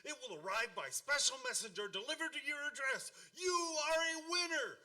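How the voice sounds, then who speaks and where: Man playing an excitable high-pitched dad, off-mic. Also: a little fast, full character voice. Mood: triumphant. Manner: excitable, blustery, repeats words. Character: excitable, childishly proud middle-aged family father. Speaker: actor in a radio play. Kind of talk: comedic radio play acting. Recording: distant microphone.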